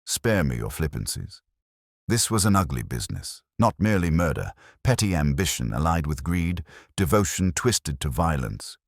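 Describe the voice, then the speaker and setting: A man's voice: rumbling low, big pitch swings, normal speed, no echo, clean studio sound, exaggerated voice. A man voicing a pompous, brilliant private detective who speaks in formal, grand pronouncements in an audiobook story. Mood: stern.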